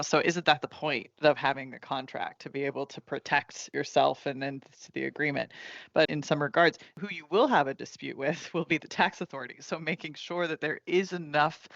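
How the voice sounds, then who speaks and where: Creaky, higher-voiced man hosting a podcast. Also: sharp tone, no room sound, lo-fi sound. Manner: agreeable, chuckles mid-sentence. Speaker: podcast host and tax advisor.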